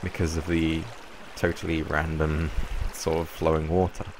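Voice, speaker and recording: Deep-voiced young man, croaky from a cold. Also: normal speed, background noise. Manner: relaxed, rambling explanation with fillers. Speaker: Minecraft YouTuber. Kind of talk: casual gameplay tutorial.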